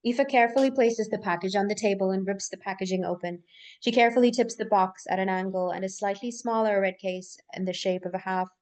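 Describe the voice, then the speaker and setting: A woman reading scripted stage directions aloud as narrator in a live table read. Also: mid-range voice.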